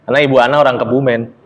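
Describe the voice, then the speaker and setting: A young man teaching an Arabic lesson, chatty and relaxed, laughing often and reading phrases slowly for students to repeat. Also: mid-range voice. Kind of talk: casual online Arabic lesson, reading aloud for repetition. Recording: long reverberant echo.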